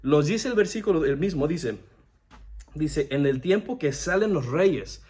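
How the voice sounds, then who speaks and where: A man's voice: a little high, lively intonation, darkish tone. A young man giving an earnest devotional sermon, often stopping to restart his words. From United States. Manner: earnest, halting, frequent restarts. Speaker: devotional speaker.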